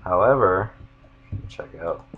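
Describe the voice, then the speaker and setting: A young man with a monotone voice, casually rambling as he walks viewers through his game builds. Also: low-pitched, takes it slowly, phone-quality sound, slight background noise. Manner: rambling, self-interrupting. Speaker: gamer showing his build.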